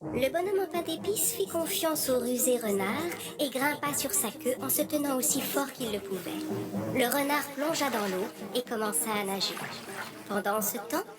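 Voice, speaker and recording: High-voiced woman narrating a fairy tale.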